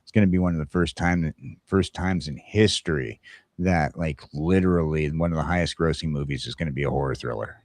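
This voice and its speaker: A man's voice: rumbling low, fairly quick, no room sound. A man hosting a casual talk show, rambling along and repeating phrases for emphasis. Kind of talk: casual chat.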